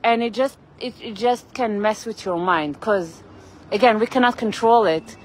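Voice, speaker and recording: A woman's voice: medium pitch, normal speed, rough recording. A woman chatting casually to her viewers while walking outdoors, rambling and greeting people by name. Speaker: vlogger. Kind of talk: casual live-stream walking chat. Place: outdoors on a city street. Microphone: phone camera held while walking. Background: traffic and street ambience.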